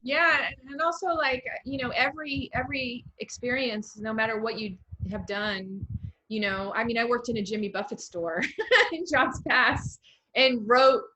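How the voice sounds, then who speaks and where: A woman's voice: fairly high pitch, moderate pace, sharp tone, poor audio. A woman with an American accent, hosting a casual interview over a video call in a chatty, encouraging way. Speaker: interview host, writer.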